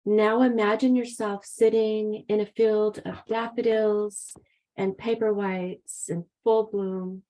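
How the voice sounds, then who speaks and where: A woman leading a guided meditation in a soft, soothing, unhurried way. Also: medium pitch, rough recording.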